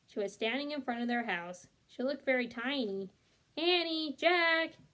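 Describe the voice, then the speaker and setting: Expressive woman reading a children's story. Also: on the high side, normal speed. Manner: animated, acts out the dialogue. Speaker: read-along storyteller.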